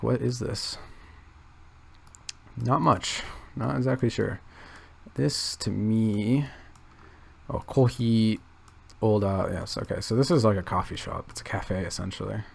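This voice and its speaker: Man, gravelly, husky, quiet, halting casual rambling, thinking aloud. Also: lowish voice. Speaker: channel host.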